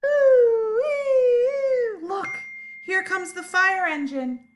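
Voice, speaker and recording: A woman voicing excitable little monkey children for a picture-book read-aloud, in an eager, exclaiming manner. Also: very high-pitched, drawn-out words, a little hiss or hum, voice pushed up, heavily acted. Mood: alarmed. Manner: eager, hushed shushing and exclaiming.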